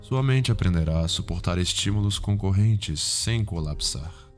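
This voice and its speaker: A man with a Brazilian accent playing a calm, cultured, sinister psychiatrist in an ASMR roleplay, speaking in a formal, measured, softly probing way. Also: deep voice. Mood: clinical, instructive. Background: background music.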